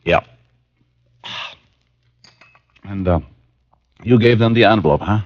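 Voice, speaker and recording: An actor playing a tough private detective in an old-time radio drama, speaking in a dry, measured, hard-boiled way. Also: male voice, fairly low pitch, close to an everyday voice. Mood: groggy. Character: tough male private detective recounting his drugging and escape. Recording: muffled old radio recording, music underneath.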